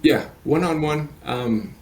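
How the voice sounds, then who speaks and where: A man's voice: a little high, animated, slow pace. A man in his late thirties, a coach talking as a podcast guest, earnest and rambling with many fillers and restarts. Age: 30s. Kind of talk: podcast interview.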